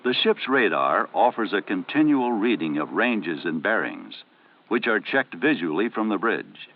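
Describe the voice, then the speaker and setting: A man reading scripted training film narration in a formal, measured, explanatory way, on a 1970 film soundtrack. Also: fairly low pitch, sing-song, phone-quality sound.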